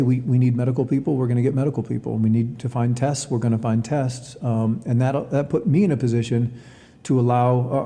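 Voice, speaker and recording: A man answering interview questions as a college athletics director, in a measured way with hesitant, repeated words. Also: medium pitch, warm tone, big-room sound.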